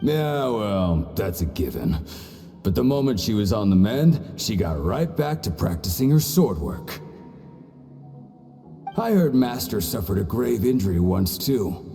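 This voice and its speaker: A man's voice: fairly low pitch, sing-song, a little slow, mellow, in a large hall, soft background music. A man voicing an earnest warrior's disciple in an anime-style game. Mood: reflective. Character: earnest male disciple, awed by stronger warriors.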